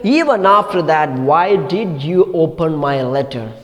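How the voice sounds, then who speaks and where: A man's voice: animated, unhurried, in a large hall, highs cut off. A man voicing a furious young wife who shrieks and scolds her husband. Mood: scolding. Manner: shrill, scolding yells.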